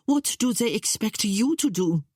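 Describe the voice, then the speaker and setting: Woman acting an imperious, mocking queen, husky voice. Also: a little high, lively intonation, unhurried, darkish tone, no echo, studio quality, pitched above the performer's own voice. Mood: jeering. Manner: haughty, scornful, declarative. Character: imperious, mocking middle-aged exiled British queen.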